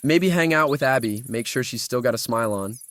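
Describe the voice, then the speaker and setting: A man's voice: medium pitch, lively intonation, fairly quick, fairly bright tone, lightly acted. A man acting an easygoing, slightly stressed college-age artist in an audio drama, talking casually with some hesitation. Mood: subdued, friendly. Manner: casual, hesitant slangy talk.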